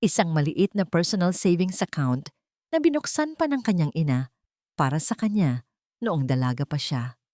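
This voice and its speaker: A woman's voice: deep voice, warm tone, dry recording, studio quality. A woman reading a scripted dramatic story in Tagalog as its narrator.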